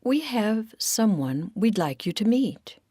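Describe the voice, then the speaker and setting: A woman's voice: medium pitch, sing-song, slow pace, mellow, slightly rough, no room sound, clean studio sound, voice pushed up, exaggerated voice. A woman voicing eager, kindly lady ladybugs in a storybook, chattily explaining things.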